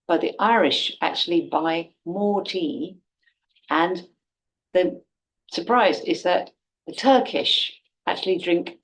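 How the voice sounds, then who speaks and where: A woman teaching an online class, speaking clearly and evenly and putting quiz questions to her students. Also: lowish voice, a little hiss or hum, low-quality recording. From Britain. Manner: clear, measured, poses quiz questions to the viewer. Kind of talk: online class introduction video.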